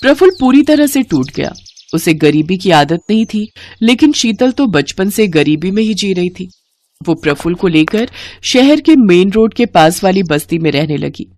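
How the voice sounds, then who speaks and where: Lively female storybook narrator. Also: medium pitch, moderate pace, dry recording.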